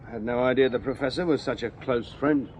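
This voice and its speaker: An actor playing a shrewd, dry-witted Victorian police sergeant in a radio drama. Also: male voice, medium pitch, fairly quick, darkish tone, a little hiss or hum, natural voice, poor audio. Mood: courteous. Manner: dry, wry questioning. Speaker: detective sergeant.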